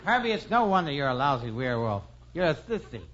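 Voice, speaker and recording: An actor playing a smug, teasing teenage werewolf brother in a boyish, mocking way for a 1950s radio comedy. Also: male voice, on the high side, sing-song, fast, fairly bright tone, faint music behind. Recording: muffled old radio recording.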